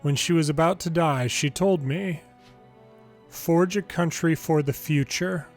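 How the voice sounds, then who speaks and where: Male game narrator, slightly high voice, reads story text aloud with casual delivery, faint music underneath. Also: measured pace. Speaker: game longplay narrator reading dialogue aloud. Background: video game music.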